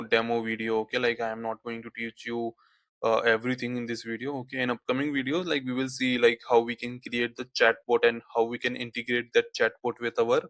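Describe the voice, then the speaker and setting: A man whose first language is Hindi, walking through a software tutorial on screen, peppering his speech with "okay, like" fillers. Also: mid-range voice, dry recording. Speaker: tutorial instructor.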